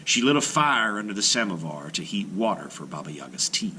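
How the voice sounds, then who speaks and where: A man reading a children's folktale aloud as scripted narration, with dramatic delivery and hushed phrase endings. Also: low-pitched, very animated, brisk, a little hiss or hum. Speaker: storyteller, children's read-aloud host. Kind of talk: expressive scripted storybook narration.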